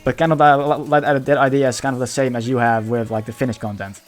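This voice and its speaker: A male streamer whose first language is Dutch chats casually in English with a lingering Dutch accent. His pitch sits in the middle of the male range. He talks haltingly, restarting phrases as he hunts for words, over faint background music. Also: level tone. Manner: halting, searching for words, many repeated starts. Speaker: livestreamer. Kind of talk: casual livestream chat.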